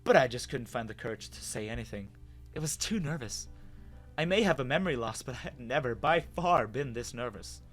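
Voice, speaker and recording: Expressive male story narrator with lively pitch. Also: a little fast, faint music behind. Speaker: narrator of a dramatic reading. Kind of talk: dramatic first-person story narration.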